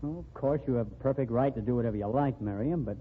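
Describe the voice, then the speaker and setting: An actor playing a cautious, proper society husband in 1940s radio acting, fussy and disapproving. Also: male voice, medium pitch, fast talker, close to an everyday voice. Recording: noisy tinny old radio broadcast.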